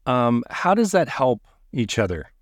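A man hosting a conversational interview in a polite, earnest, halting way. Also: mid-range voice, very animated, no echo, studio quality. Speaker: podcast host, pilot.

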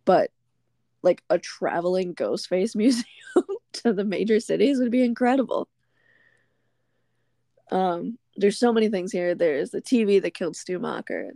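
A woman's voice: fairly low pitch, creaky, mellow, dry recording, clean studio sound. A woman co-hosting a podcast, casually recapping a film scene by scene and laughing often as she goes. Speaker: podcast co-host. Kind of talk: casual movie recap chat. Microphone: remote call.

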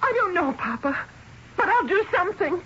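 An actress playing a young, dutiful but resistant daughter in an old radio drama, speaking hesitantly and pleadingly. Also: female voice, very high-pitched, big pitch swings, moderate pace. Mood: defiant. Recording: muffled, noisy old radio broadcast.

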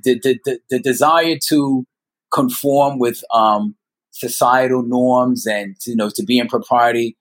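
A man in his fifties telling personal stories as a podcast guest, speaking earnestly and haltingly, repeating words for emphasis. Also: mid-range voice. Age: 50s. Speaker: guest storyteller. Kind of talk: personal storytelling in a conversational interview.

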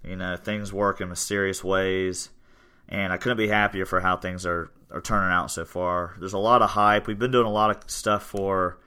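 Bright, clear-voiced young man. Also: low-pitched, moderate pace. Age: 20s. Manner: relaxed, rambling with many fillers. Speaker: gym owner and podcast host. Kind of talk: casual podcast chat.

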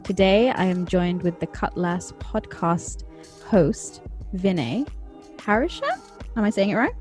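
A woman with a London accent hosting a podcast interview, speaking in a measured, thoughtful way with some hesitant fillers. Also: mid-range voice, big pitch swings, darkish tone, soft background music. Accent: London English. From England. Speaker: podcast host.

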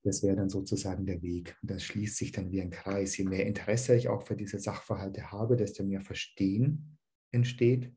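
A man teaching dharma to an online group, calm and searching, with a slight cold. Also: sits low, normal speed. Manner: calm, searching, with many "ähm" restarts.

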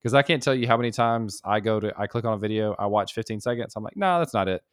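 Enthusiastic low-voiced man, podcast guest. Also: quick pace, clear, resonant tone, no room sound, clean studio sound. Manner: enthusiastic, chatty, frequent "like". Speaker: guest, developer and YouTuber. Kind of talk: casual podcast conversation.

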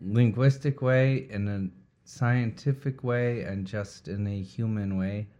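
An interviewer's voice of middling pitch, whose sex is unclear, asks curious questions and reasons through ideas out loud. The speaker stumbles and restarts words mid-thought, then settles into a steady run of explanation. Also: lo-fi sound. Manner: inquisitive, thinks aloud with halting restarts. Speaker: interviewer, host. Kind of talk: conversational interview.

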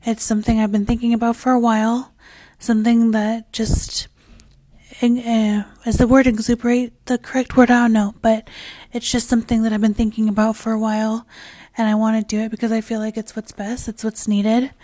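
A woman talks through her own podcast with a raspy, worn-out voice that is nearly gone. She rambles candidly, circling back over her words and swearing casually. Her tone sits dark and mellow with little rise and fall, and the recording is dry and close. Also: fairly high pitch, flat delivery, a little fast, dry recording. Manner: rambling and candid, with frequent fillers and restarts. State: hoarse, losing her voice. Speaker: podcast host. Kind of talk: casual solo podcast chat.

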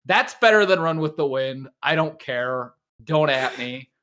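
A man's voice: a little high, lively intonation, measured pace, a bit bright. A man hosting a casual podcast chat, teasing and swearing freely, with lots of slang. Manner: teasing, profane, heavy use of slang like "dude". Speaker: podcast host.

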